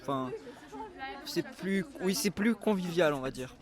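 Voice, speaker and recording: A young man from the Toulouse suburbs, chatting in a relaxed, informal way with some slang. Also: high-pitched, measured pace, crowd noise. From Toulouse suburbs, France. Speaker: interviewee and show host. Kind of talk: casual chat.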